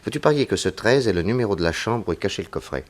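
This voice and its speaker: Shrewd male detective, very low voice, confident, musing aloud, 1960s French radio drama. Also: lively intonation, racing. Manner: musing aloud, thinking things through.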